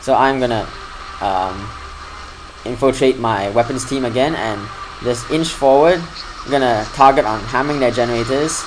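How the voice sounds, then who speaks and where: A man's voice: mid-range voice, normal speed, background noise. Man giving casual gameplay commentary. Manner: fairly flat delivery that lifts when excited. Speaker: gaming channel host.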